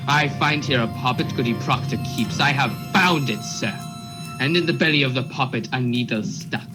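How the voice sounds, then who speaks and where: An earnest, officious Puritan lawman acted by a man in a radio drama. His mid-pitched voice is formal, rustic and insistent. Music plays behind him. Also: moderate pace, close to an everyday voice. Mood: alarmed. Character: Cheever, earnest, officious male court officer serving warrants. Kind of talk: radio play acting.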